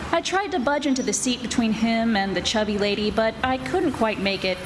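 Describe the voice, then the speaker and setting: A woman's voice: fairly high pitch, a little fast, background noise, lightly acted. A woman playing a wry, breezy female private eye who narrates in 1940s radio detective style. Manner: wry, breezy, flirtatious deadpan. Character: wisecracking glamorous female private eye, former model. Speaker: radio theatre actor. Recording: live stage recording with music.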